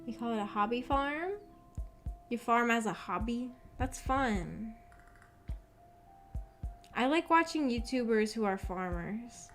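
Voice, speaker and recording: A woman's voice: on the high side, animated, takes it slowly, long pauses, fairly bright tone. A young adult woman chatting casually on a livestream in a hesitant, rambling, self-effacing way. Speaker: streamer chatting with live chat. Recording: background music under the voice. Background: background music.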